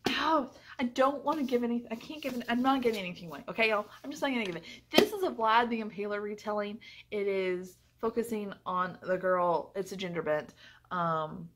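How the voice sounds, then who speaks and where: A woman's voice: mid-range voice, brisk, noisy background. A woman giving a casual, chatty book review, effusive and emphatic, often repeating phrases for emphasis.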